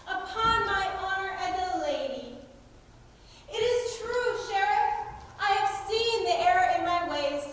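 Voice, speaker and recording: An echoing hall, picked up from a distance, carries a cheeky lady outlaw chief acted by a young woman. The very high voice is grandly theatrical, all mock-earnest virtue in a stage comedy. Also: fairly bright tone, full character voice. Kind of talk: amateur stage comedy acting. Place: high school play on a stage. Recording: echoey hall from far off, faint noise.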